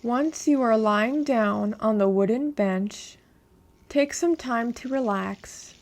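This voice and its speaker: A woman narrating a guided meditation in a calm, measured, instructional way. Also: on the high side.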